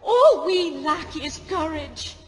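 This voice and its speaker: A grieving, desperate weaver in a fantasy audio drama. Her voice is very high and rather slow, earnest and formal as she pleads. It carries the long reverb of a great hall. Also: expressive. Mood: pleading. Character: desperate, grieving young female guild weaver pleading with elders.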